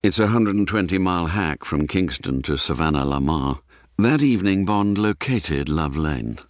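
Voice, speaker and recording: A man narrating a spy thriller radio drama in a measured, grave storytelling manner. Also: sits low, expressive, dry recording, clean studio sound. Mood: measured, atmospheric.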